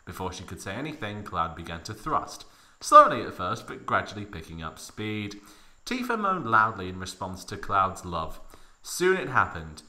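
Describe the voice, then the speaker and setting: A man's voice: lowish voice, sing-song, moderate pace, sharp tone. A man narrating a comic dramatic reading in a mock-serious, theatrical manner with heavy emphasis.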